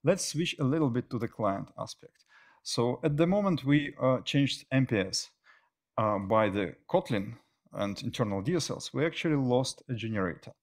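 A middle-aged man presenting technical material as a conference speaker, often pausing mid-phrase. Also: mid-range voice, lively intonation. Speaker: conference speaker, software engineer. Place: indoor stage at a tech meetup.

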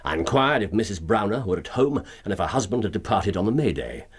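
An actor playing an incisive, superior English gentleman detective with a husky voice and dry, precise manner in a radio drama. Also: on the low side, very animated, quick pace. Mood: assured, explanatory. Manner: precise, superior, dryly sardonic.

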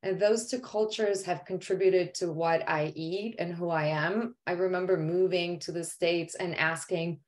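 A woman's voice: lowish voice, steady tone, a little slow, hardly any pauses. A woman born in Poland and raised in Greece, giving an earnest artist presentation on a video call, with the odd "um" as she explains. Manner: earnest, explanatory, some hesitant fillers.

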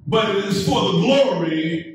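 A middle-aged man preaching a sermon as pastor, in measured phrases that build up to emphatic calls answered by the congregation. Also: high voice, expressive. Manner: measured preaching with call-and-response and rising emphatic build-ups. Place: church sanctuary, indoors, medium-sized. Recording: echoey church sanctuary from a distance.

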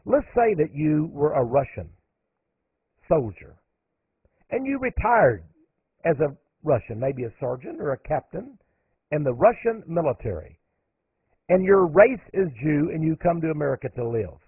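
A man's voice: fairly high pitch, sing-song, moderate pace, long pauses, husky, no room sound. A man hosting a radio monologue, talking emphatically and climbing sharply on the points he stresses. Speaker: radio host. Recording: narrow phone-like radio audio, dry.

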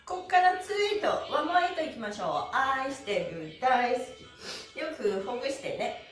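A middle-aged woman leading follow-along exercise in a cheerful, energetic manner, with sing-song count-offs. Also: sits high, moderate pace. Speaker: stretching and exercise instructor. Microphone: camera a few steps away. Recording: roomy echo, distant microphone, music behind.

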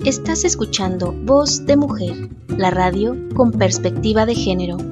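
Woman announcer over music, medium pitch. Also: animated, a little slow. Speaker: station announcer. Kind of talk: scripted radio station ident. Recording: music bed behind voice.

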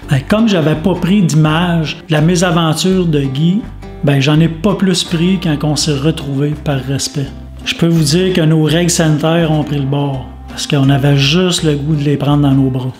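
Higher-pitched Québécois man narrating casually. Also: steady tone, normal speed, darkish tone, soft background music. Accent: Quebec French. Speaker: vlog host and narrator.

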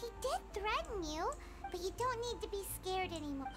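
Game sidekick: tiny chirpy fairy, extremely high squeaky voice, very animated. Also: female voice, brisk. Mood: reassuring. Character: tiny, chirpy childlike floating fairy companion. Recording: loud game music behind the voice.

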